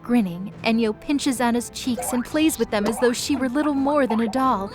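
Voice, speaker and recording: A woman's voice: fairly high pitch, normal speed. A woman narrator reading a scripted dramatic story. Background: music bed.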